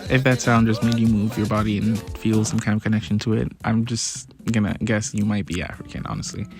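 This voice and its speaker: A young man from Ghana talking casually to his viewers in a relaxed, chatty way. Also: lowish voice, brisk, gravelly, music in the background. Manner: relaxed, chatty, with many restarts and fillers. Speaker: vlogger. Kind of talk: casual talk to camera.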